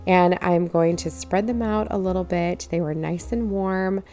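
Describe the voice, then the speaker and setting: A woman narrating her home cooking video in a relaxed, step-by-step way, walking viewers through each recipe stage. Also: fairly low pitch, measured pace, faint music behind. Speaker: cooking channel host.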